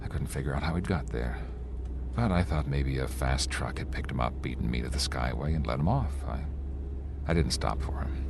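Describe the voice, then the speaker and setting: Very deep, haunted radio-drama man. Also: gravelly. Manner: urgent, halting, repeating himself. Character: haunted 36-year-old male traveller, anxious, insisting he is sane. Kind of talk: old-time radio drama narration. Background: music.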